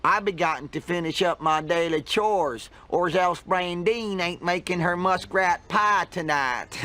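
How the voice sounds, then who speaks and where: High-pitched folksy cartoon hillbilly. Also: male voice, a little slow, few pauses, bright, forceful tone. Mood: folksy, gleeful. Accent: rural Southern American. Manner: folksy drawl, cackling, whooping. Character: cheerful, rustic hillbilly farmer, simple-minded and folksy. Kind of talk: animated comedy game voice acting.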